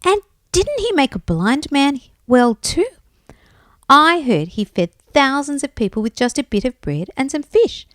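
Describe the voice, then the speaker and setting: Woman playing an excitable, high-pitched pleading blind beggar man. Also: measured pace, no room sound, studio quality. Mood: excited wonder. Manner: excited, insistent calling out.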